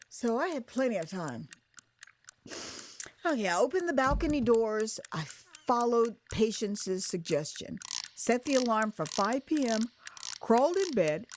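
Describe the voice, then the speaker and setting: An American woman narrating her own memoir as a dramatized first-person story, conversational and expressive, acting out moments as she goes. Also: a little high. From United States. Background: music.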